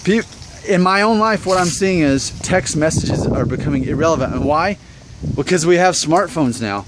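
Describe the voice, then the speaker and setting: A man's voice: on the high side, expressive, normal speed, background noise. A man in his thirties talking casually to viewers in an opinion vlog, conversational and self-deprecating, full of rhetorical questions. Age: 30s. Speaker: vlog host.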